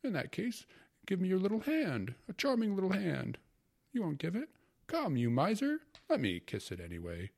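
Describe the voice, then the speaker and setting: A man putting on a high, wistful aging gentleman. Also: wildly swooping pitch, normal speed, vocal fry, full character voice. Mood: coaxing. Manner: pleading, wistful, higher than the narration. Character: sentimental fussy aging gentleman, male.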